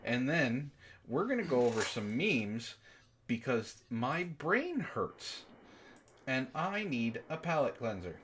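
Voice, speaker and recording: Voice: medium pitch, sing-song, slow, a bit bright, a little hiss or hum. An outspoken, exasperated host who swears freely, moving between casual banter and mocking dramatic reading. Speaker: book club show host reading aloud.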